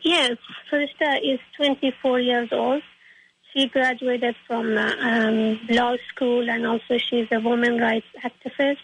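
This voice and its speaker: A young woman with an Afghan accent, answering interview questions in a halting, earnest way. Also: fairly high pitch, level tone, gravelly, a little hiss or hum, rough recording. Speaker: interview guest.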